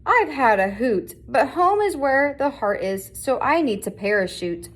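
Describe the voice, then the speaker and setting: An ambitious little girl dog from a storybook, voiced by a woman reading aloud. The voice is fairly high, and she is a sentimental dreamer after fame. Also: lively intonation, measured pace. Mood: homesick, content.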